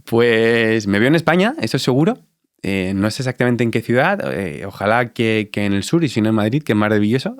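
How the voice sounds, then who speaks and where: Deep-voiced Andalusian man in his thirties, guest on an interview; reflective, halting delivery, dry close mic. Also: expressive, clear, resonant tone, no echo. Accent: Andalusian Spanish. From Spain. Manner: reflective, halting with many fillers. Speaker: dentist guest. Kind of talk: relaxed interview answers.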